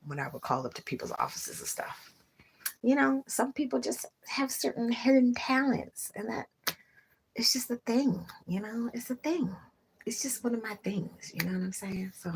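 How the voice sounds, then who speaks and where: A young woman talks to her livestream viewers from bed. Her voice sits low and breathy, and she drifts between sleepy half-whispers and bursts of laughter. She is recorded on a phone camera in a bedroom at home. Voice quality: husky, breathy voice. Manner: drowsy, drifting between murmurs and sudden loud laughs. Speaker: livestream host. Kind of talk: casual livestream chat with viewers.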